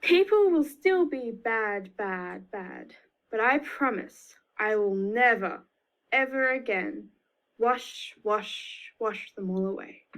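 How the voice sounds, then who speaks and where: Lady reading god in a kids storybook, sad but firm, slow n emphatic, repeats words. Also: fairly high pitch, lively intonation, fairly bright tone, low-quality recording. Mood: solemn promise. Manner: slow, emphatic word repetition. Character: God, male, sorrowful but resolute, promising protection. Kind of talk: picture-book storytelling for young children.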